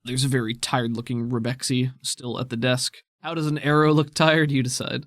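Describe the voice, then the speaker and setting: Relaxed man narrating as game master. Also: medium pitch, fairly quick, no echo, studio quality.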